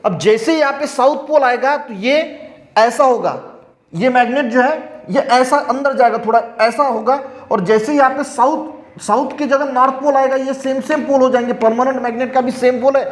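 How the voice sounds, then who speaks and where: High-pitched Indian male teacher, echoey room. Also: moderate pace. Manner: repetitive, step-by-step explaining. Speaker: science teacher. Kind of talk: classroom explanation alternating read-out textbook sentences with explanation.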